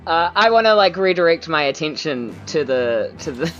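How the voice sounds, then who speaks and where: A woman's voice: low voice, expressive, normal speed, a bit bright, muffled recording. A woman playing a tabletop game, talking casually and improvising her actions. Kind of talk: casual chat, improvised play. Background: faint music.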